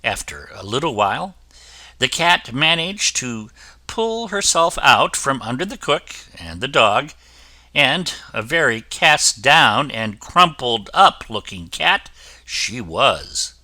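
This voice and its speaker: A man reading a children's fairy tale aloud as the storyteller, with an expressive delivery. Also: medium pitch, slow, no room sound.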